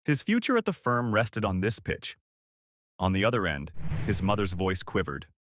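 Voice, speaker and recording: A man's voice: fairly low pitch, wildly swooping pitch, normal speed, a bit bright, no room sound, studio quality. A man narrating a scripted story as a storyteller.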